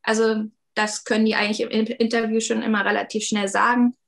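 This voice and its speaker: Chatty German-speaking woman, higher voice. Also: level tone, fairly quick, creaky. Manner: chatty, many fillers and hedges. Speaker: interview guest, 3D artist. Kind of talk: casual interview talk.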